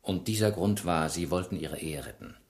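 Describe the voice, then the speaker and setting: A man's voice: deep voice, normal speed. An actor playing a shrewd, relentless French police inspector in a German radio crime drama, ironic and insistent as he questions. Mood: insistent, methodical. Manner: probing, ironic, insistent interrogator.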